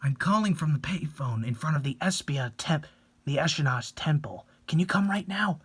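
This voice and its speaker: A man voicing a haunted, intense teenager who speaks gravely and insistently in a horror story. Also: fairly high pitch, animated, moderate pace. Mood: urgent, hushed.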